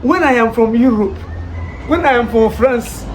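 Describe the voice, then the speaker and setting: A Ghanaian woman preaches in a high voice, pressing her listeners with question after question and a teasing laugh. The recording carries clear background noise behind her. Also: moderate pace. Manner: rhetorical, repeated questions with laughs. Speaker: street preacher. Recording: noisy ambient background.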